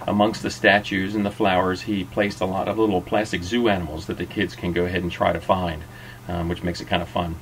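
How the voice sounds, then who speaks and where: A man's voice: fairly low pitch, a little hiss or hum, low-quality recording. A middle-aged man hosting and narrating an informal guided tour. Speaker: host and narrator of a restaurant tour.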